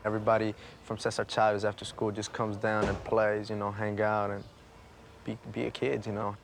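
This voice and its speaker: A man's voice: on the low side, monotone, a little fast, creaky. A young man from El Salvador, talking casually about his neighborhood in a documentary interview and using plenty of fillers. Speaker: neighborhood resident.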